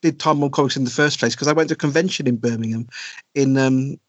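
A middle-aged man talks casually as an interview guest, hesitating often and restarting his sentences. His voice sits at a medium pitch. The recording is dry and a bit dull, like a lo-fi podcast line. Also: fast talker, no room sound. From South Wales. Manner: hesitant, many ums and restarts. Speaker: podcast guest, comic writer.

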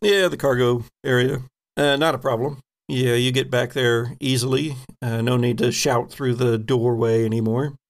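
Creaky low-voiced man running a game. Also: steady tone, moderate pace. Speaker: game master of a tabletop RPG podcast. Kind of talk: improvised game narration, conversational.

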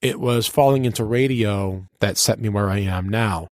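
A man's voice: fairly low pitch, normal speed, darkish tone. A man who works as a radio personality and DJ, telling stories casually and colloquially with a showman's turn of phrase as an interview guest. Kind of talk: casual storytelling in a sit-down interview.

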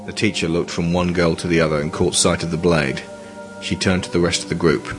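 A man's voice: low voice, level tone, a little fast. A man reading scripted narration as the narrator of an audio drama. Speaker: narrator and project creator. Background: music.

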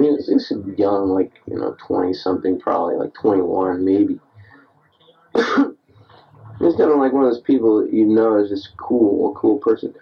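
A man's voice: lowish voice, husky, far from the mic, dull audio. Tipsy thirty-something man rambling into a tape. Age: 30s. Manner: rambling, self-deprecating, restless. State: tipsy after beers. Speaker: diarist recording himself. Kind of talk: rambling late-night voice memo. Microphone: answering machine recorder.

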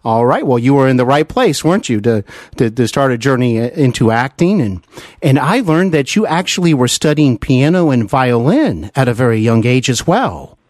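A man's voice: medium pitch, lively intonation, moderate pace, a little mellow, no echo, clean studio sound. An American man hosting a conversational interview, effusive and somewhat hesitant in his phrasing. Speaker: interview host.

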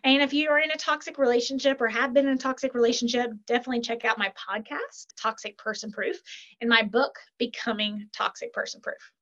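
A woman, a podcast guest and author, chatting in an animated, upbeat way and often checking in with "right?". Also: on the high side, a little fast. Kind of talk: casual interview chat. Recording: lo-fi muffled sound.

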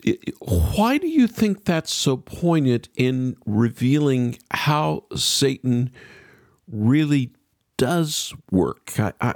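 Gravelly, animated male interview host. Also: medium pitch, no room sound, studio quality. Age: middle-aged. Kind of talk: conversational interview.

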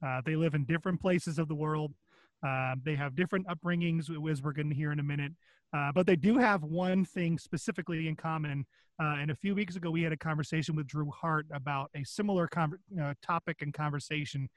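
A man's voice: on the high side, fairly even intonation, a little fast, no echo. A man co-hosting a podcast, chatting casually with frequent ums and uhs. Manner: upbeat, many ums and uhs. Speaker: podcast co-host. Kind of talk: casual chat.

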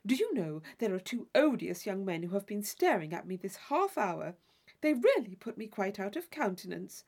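A woman's voice: medium pitch, big pitch swings, normal speed, a little mellow, voice pushed down. A woman voicing an affected, gushing young society lady in an audiobook of a classic novel, with theatrical emphasis. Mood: mock indignant.

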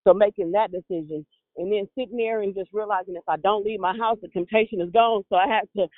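A woman's voice: mid-range voice, fast talker, dry recording. A woman giving a grateful testimony on a church prayer call. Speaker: caller. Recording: phone line.

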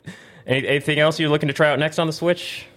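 A man's voice: fairly high pitch, fairly even intonation, very fast, fairly bright tone. A man hosting a casual gaming talk show in an informal, slangy, encouraging way. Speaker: host.

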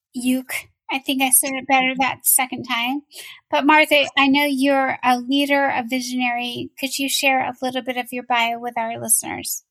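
A woman hosting an interview podcast, asking questions in a gentle, thoughtful way. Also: sits high, low-quality recording. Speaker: podcast host.

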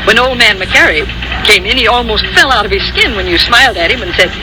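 A woman's voice: fairly high pitch, expressive, fast, lightly acted. An actress playing a kindly, teasing middle-aged office boss in 1940s radio style, wry and motherly. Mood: teasing, fond. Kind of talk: 1940s American radio acting. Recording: noisy old radio recording.

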